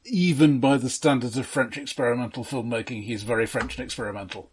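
A man's voice: mid-range voice, fast, darkish tone, husky. A man co-hosting a podcast, casually discussing films in a wry, precise manner, often qualifying what he says. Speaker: podcast co-host.

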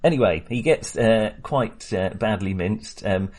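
Male podcast host, low husky voice, rambling film chat. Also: unhurried, a little mellow. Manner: rambling, hesitant, chuckles often. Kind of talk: casual film discussion.

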